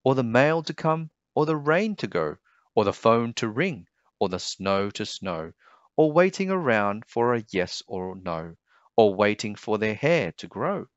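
A man reading a children's storybook aloud expressively, with a deliberate, sing-song delivery of rhyming verse. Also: fairly low pitch, very animated, no echo, dull audio. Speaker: storybook reader.

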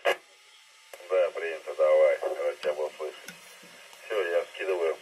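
Very deep-voiced man over noisy ham radio. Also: fairly even intonation, unhurried. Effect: voice heard over two-way radio. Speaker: amateur radio operator. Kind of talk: casual ham radio chat. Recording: noisy, humming FM radio transmission.

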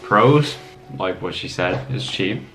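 A man's voice: on the low side, expressive, slow pace, fairly bright tone. A man in his twenties from New York City with an American accent, chatting casually and bluntly, with some swearing. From New York City, USA. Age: 20s. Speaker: guest, host's boyfriend. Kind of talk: casual chat. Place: small home kitchen, indoors. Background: music with plucked guitar.

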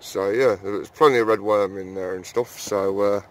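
A man's voice: fairly low pitch, steady tone, normal speed. A man chatting casually to viewers while walking around his allotment and shed, full of fillers and calling them guys. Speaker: allotment vlogger. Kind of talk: casual walk-and-talk vlog. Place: outdoor allotment, then small garden shed.

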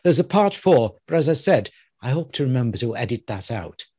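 A man's voice: mid-range voice, big pitch swings, a little fast, a little mellow, husky, no room sound, clean studio sound. An older man hosting an interview, talking playfully with theatrical emphasis on a headset mic. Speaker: host. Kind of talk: interview intro and questions.

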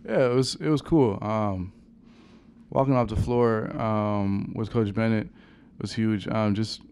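A young man, a college basketball player, answering questions at a post-game press conference in a halting, soft-spoken way with many fillers, into a table microphone. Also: on the low side, fairly even intonation, smooth. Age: young adult. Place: press conference room with sponsor backdrop.

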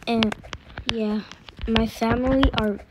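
A woman's voice: fairly high pitch, steady tone, slow pace. A young girl from Mexico, co-hosting a gaming channel in casual chat, stammering and repeating words. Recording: clear background noise.

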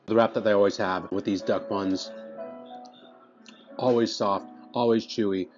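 A man in his thirties talks eagerly to the camera as he tastes dishes at a restaurant table, often restarting his phrases. His voice sits low. The recording is a little muffled, with faint music playing behind him. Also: fairly even intonation, unhurried, a little mellow. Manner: enthusiastic, restarts phrases while tasting. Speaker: food vlogger. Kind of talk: casual food review to camera. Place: indoor restaurant dining room. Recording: slightly dull sound, faint music behind.

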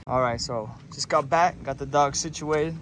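Young male boat vlogger, easygoing and chatty, on an action camera with clear wind and engine noise. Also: medium pitch, normal speed. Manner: relaxed, chatty, talking to his dogs. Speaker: fishing vlogger. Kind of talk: casual vlog narration. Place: small open boat on the water outdoors.

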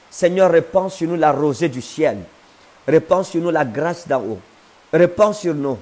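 A man in his thirties prays slowly and fervently, then preaches with insistent repetition. He speaks into a wired earphone mic in a home living room, sounding close and personal. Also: fairly high pitch, a little mellow. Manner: fervent, slow incantatory praying in tongues. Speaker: prophet. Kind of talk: livestream prayer and sermon. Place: living room with a sofa, indoors.

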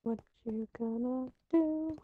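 A woman's voice: sits high, slow, no room sound, phone-quality sound. A woman playing a video game with friends, bantering and teasing. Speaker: co-player. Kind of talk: casual gaming chat.

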